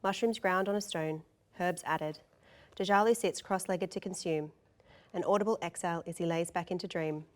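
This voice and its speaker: A woman's voice: on the low side, normal speed. A woman reading scripted stage directions as the narrator of a table read.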